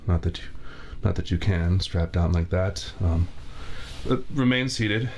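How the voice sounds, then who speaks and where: A man's voice: deep voice, darkish tone, a little hiss or hum. A man playing a spiteful laid-off torturer. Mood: fussy, clinical. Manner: formal, theatrical villain diction, slow and deliberate. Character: spiteful laid-off veteran male torturer, fussy and formal. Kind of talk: ASMR roleplay. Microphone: 3Dio binaural mic.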